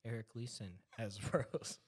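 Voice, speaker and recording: A young man in his twenties serves as narrator of a script reading. His voice sits at a middle pitch. He delivers the stage directions dramatically, with amusement at the edges. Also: measured pace. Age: 20s. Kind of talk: reading screenplay directions aloud.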